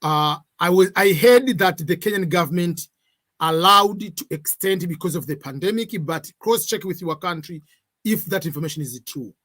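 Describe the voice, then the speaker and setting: A man's voice: high voice, moderate pace, a bit bright. A middle-aged man, hosting a casual live question-and-answer stream from a room at home, talking hesitantly with many fillers and mixing English with Swahili. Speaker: livestream host answering viewer questions.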